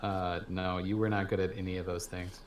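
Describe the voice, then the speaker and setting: A man's voice: low voice, little pitch movement, fairly quick, roomy sound, a little hiss or hum. A man who laughs a lot, teasing his players as game master before a tabletop session.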